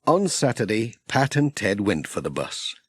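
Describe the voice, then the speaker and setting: A man narrating a children's story in an expressive, scripted storytelling manner. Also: lowish voice, moderate pace, slightly rough, no room sound. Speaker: audiobook narrator.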